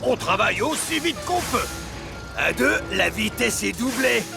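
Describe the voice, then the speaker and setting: A man's voice: very high-pitched, big pitch swings, unhurried, vocal fry, music in the background, exaggerated voice. A man voicing a boastful, mischievous young dump truck in a children's cartoon. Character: cheeky reckless young male dump truck twin, boisterous. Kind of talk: French-dubbed children's cartoon acting.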